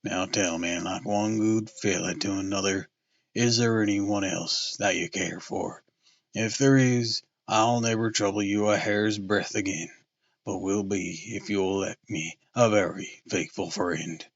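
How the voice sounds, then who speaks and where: Man reading as a gallant young suitor, lowered voice, slow and hearty, dull dry recording. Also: steady tone, no echo. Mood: earnest.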